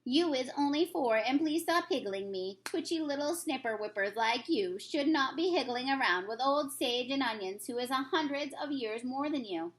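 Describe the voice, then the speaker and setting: Woman acting out a storybook giant, ancient, friendly, bossy, folksy garbled speech, high-pitched. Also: animated, moderate pace, few pauses, a little hiss or hum. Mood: mildly scolding. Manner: folksy, garbled grammar with invented words. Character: giant, centuries old, friendly, bossy, muddled grammar.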